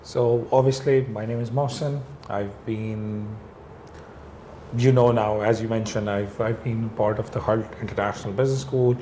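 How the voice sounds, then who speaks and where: A man from Pakistan, a real estate business owner, talking as an interview guest in a reflective, relaxed way. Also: fairly low pitch, normal speed, a little hiss or hum. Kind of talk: conversational interview.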